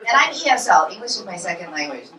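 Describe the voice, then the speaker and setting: A woman's voice: lowish voice, big pitch swings, quick pace, over a noisy crowd, heavy background noise. A woman in her forties doing a stand-up comedy set in a club, telling punchy self-deprecating stories. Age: 40s. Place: comedy club in New York. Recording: roomy club sound, from far off.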